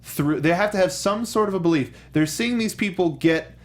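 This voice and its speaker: A man hosting a film discussion show, chatting casually in a halting, jokey way. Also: high voice. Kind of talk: casual panel chat about movies.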